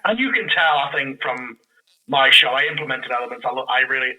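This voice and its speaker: A man speaking casually as a podcast guest, explaining things in an animated, rambling way. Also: mid-range voice, brisk, low-quality recording. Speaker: podcast guest, audio drama creator. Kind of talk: casual interview chat.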